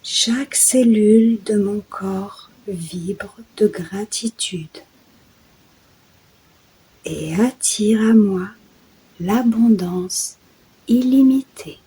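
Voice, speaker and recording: A woman leading a guided sleep meditation in a soft, soothing, hushed voice that often drops toward a whisper. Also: medium pitch, very slow, long pauses, slightly rough, low-quality recording. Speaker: guided meditation host and yoga nidra guide.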